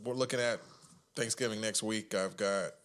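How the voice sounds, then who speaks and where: A man's voice: lowish voice, steady tone, normal speed, fairly bright tone. A man co-hosting a comedy podcast who talks in a playful, chatty way. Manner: playful, chatty, full of fillers and restarts. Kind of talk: casual comedy podcast chat.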